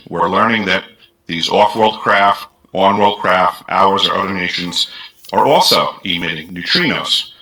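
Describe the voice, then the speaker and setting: A man's voice: deep voice, sharp tone, rough recording. A man, a guest on the show, presenting research claims in an earnest, methodical way and listing points one after another.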